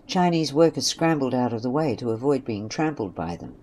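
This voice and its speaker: A woman's voice: a bass voice, big pitch swings, a little fast, dark tone. A woman narrating a scripted western story. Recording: faint background noise and ambience.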